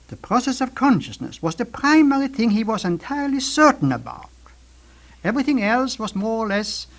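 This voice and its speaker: An older man, explaining ideas in an emphatic, lecturing way as a scientist guest on a radio discussion. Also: high voice. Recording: 1950s archival radio recording.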